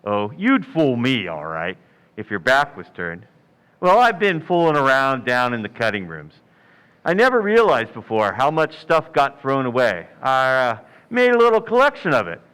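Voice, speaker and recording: A man's voice: medium pitch, huge pitch swings, moderate pace, fairly bright tone, clean tone, low-quality recording. A man acting a shrewd, folksy police lieutenant in a radio crime drama, needling people with mock modesty and hesitant asides.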